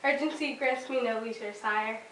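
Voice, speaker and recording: A woman's voice: fairly high pitch, normal speed, fairly bright tone, lightly acted. A woman playing an urgent, anxious royal messenger in an amateur stage play, earnestly reciting her lines. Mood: urgent, deferential. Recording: distant echoey room, faint background noise.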